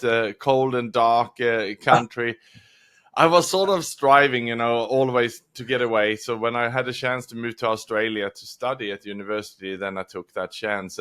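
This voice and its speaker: A man from Sweden, a guest author answering interview questions in a reflective, measured way. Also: medium pitch, fairly bright tone, smooth.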